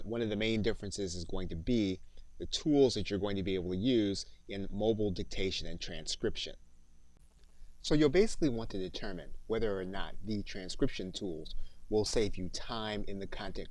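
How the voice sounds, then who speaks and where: A man with a fairly low voice narrates an instructional video. He explains in a measured, orderly way, as a course instructor would. The sound is dry, with very little room in it. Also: no echo. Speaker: instructor of an online software training course.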